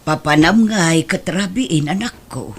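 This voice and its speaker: A middle-aged mother played by an actress speaks in a very low voice for a woman. She comes across as homely, caring and worn out from chores, in audio drama style. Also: a little slow, slightly rough, full character voice. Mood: tired, gentle.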